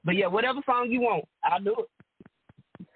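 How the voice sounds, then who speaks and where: A woman with a deep alto voice, chatting casually as a guest and laughing often. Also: normal speed, a little hiss or hum. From Rome, Georgia, US. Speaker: guest singer and entertainer. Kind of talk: casual chat. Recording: dry phone-line call audio.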